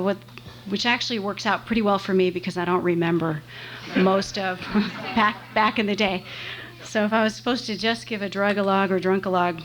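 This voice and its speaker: A woman in her forties from the San Fernando Valley, speaking at a meeting podium in a chatty, self-deprecating way with halting restarts. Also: medium pitch, low-quality recording. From Los Angeles, San Fernando Valley. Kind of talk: personal talk at a meeting. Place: meeting room. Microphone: podium microphone. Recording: faint hiss and hum, live room tape.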